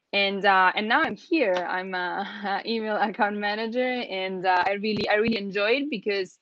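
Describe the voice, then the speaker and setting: A young woman with an Italian accent, chatting as an interview guest, full of "like" and restarts and quick laughs. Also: medium pitch, a bit bright, dry recording, dull audio. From Italy. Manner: chatty, many fillers and restarts, laughs often. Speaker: guest, email account manager. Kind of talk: casual interview chat.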